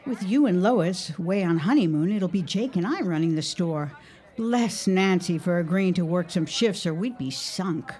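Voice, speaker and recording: An actress playing a doting, gently wry middle-aged 1910s mother in an audio drama, coaxing as she talks. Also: fairly low pitch, lively intonation, moderate pace, close to an everyday voice. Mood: wry, cheerful. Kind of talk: period audio drama acting.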